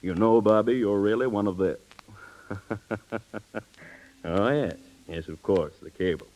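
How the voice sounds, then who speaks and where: A man's voice: fairly low pitch, unhurried, a little mellow, close to an everyday voice. An actor playing an older, courtly businessman smitten with his secretary, in 1930s radio style. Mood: suave, flirtatious. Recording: muffled 1930s radio recording.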